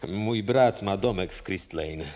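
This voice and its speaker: An actor playing an easygoing hotel colleague in a radio play, conversational and unhurried. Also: male voice, lowish voice, darkish tone, lightly acted. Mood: relaxed, friendly.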